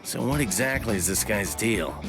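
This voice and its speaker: Grumbly suspicious anime guy muttering to himself, pitch moves a lot, music under it. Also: animated, moderate pace. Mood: suspicious, annoyed. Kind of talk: anime game voice acting. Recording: music behind the voice.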